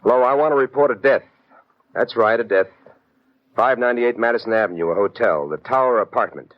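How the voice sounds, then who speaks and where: A man's voice: mid-range voice, fairly quick, dry recording, lightly acted. A man playing a wry, businesslike hard-boiled detective in an old-time radio drama, at times narrating his own case. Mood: calm, brisk. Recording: dull vintage radio recording.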